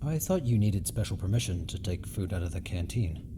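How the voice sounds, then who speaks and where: A man's voice: sits low, big pitch swings, brisk, warm tone, slight background noise. A man playing an awkward, introspective starship ensign in an audio drama, hesitant and flustered.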